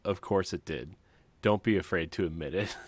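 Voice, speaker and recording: A man's voice: low voice, normal speed, no echo. A man co-hosting a podcast, doing comic readings for friends and often breaking into laughter as he reads. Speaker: podcast co-host. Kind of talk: comic dramatic reading of book descriptions among friends.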